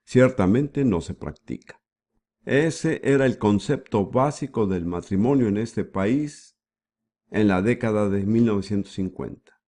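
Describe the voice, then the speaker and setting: A man giving the voice-over for a sermon, with a measured preaching delivery and frequent rhetorical questions. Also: fairly low pitch, mellow. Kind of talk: dubbed sermon.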